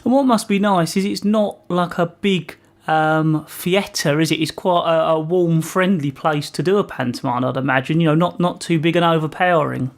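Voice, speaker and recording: A man's voice: on the high side, normal speed, gravelly, few pauses. A man hosting a casual community radio interview, asking questions in a polite, tentative way.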